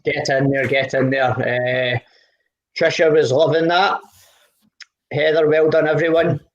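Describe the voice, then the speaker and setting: A man's voice: fairly high pitch, little pitch movement, a little slow, dull audio, a little hiss or hum. A man hosting an online live music session, chatting casually and rambling with many fillers and restarts.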